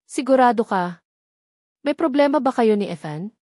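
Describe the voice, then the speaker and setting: A woman's voice: a little high, expressive, normal speed, warm tone, no room sound, clean studio sound. Woman voicing worried young adopted sister. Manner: anxious, probing.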